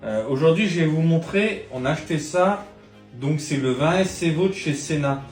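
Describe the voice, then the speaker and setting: A man's voice: fairly high pitch, lively intonation, normal speed. A middle-aged man chatting casually as he unboxes gear, thinking aloud and swearing when annoyed. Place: room at home. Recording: roomy echo, faint music behind.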